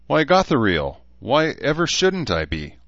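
Outcast fantasy villain, male narrator acting: defiant, sardonic, grandiose, raised pitch, lo-fi room sound. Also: huge pitch swings, unhurried, a bit bright, exaggerated voice. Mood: mocking. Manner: mocking, grandiose. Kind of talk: fantasy audiobook character voice. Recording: dull, lo-fi, roomy sound.

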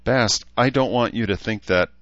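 A man's voice: lowish voice, normal speed, a bit bright, no room sound, muffled recording, pitched above the performer's own voice, lightly acted. A man voicing a vulnerable, hesitant human woman who gives short answers in an audiobook story. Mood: shy, tired.

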